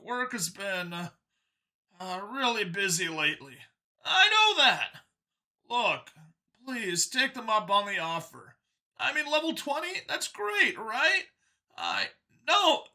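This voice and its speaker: Man acting an alien father, high-pitched, strained, hesitant, eager to please, swooping intonation, sci-fi story. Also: sing-song, pushed and bright, heavily acted. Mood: defensive, pleading. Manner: halting, hesitant, gushing and apologetic by turns. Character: alien howler father, anxious, eager, pleading on a call.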